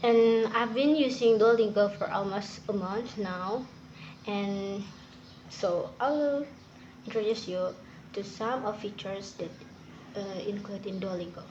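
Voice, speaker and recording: Young indonesian woman, sundanese native, halting english with lots of uhs, hissy noisy recording. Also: mid-range voice, muffled recording. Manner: hesitant, with many fillers and restarts. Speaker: student reviewing a language app. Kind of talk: informal review and walkthrough. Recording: noisy background hiss.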